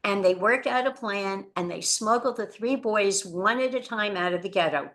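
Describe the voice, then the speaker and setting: A woman Holocaust educator, giving an earnest lecture that tells rescue stories and drops quietly at somber moments. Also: mid-range voice, moderate pace. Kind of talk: lecture presentation.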